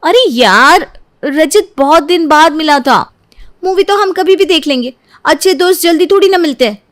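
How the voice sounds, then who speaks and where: A woman voicing a girlish young bride who is emotional and easily jealous, in an animated story. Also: high voice, normal speed, pitched above the performer's own voice. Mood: defensive.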